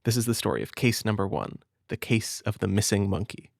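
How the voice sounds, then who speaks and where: A man speaking gently and hesitantly in an intimate comfort roleplay, as a big brother reading a storybook. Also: lowish voice, brisk, vocal fry, no echo, studio quality. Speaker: audio roleplay performer as a caring big brother.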